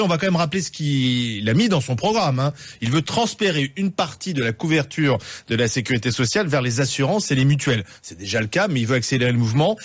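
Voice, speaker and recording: A man's voice: mid-range voice, dry recording. A man co-hosting a live radio talk show, explaining a policy with frequent hesitations. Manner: explanatory, many "euh" hesitations. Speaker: radio co-host.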